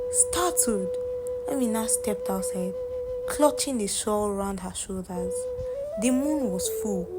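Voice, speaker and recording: A woman narrates a folktale with dramatic, deliberate delivery. Her voice sits fairly high and moves through wide swings of pitch at a slow pace, with loud music underneath. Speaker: storyteller narrator.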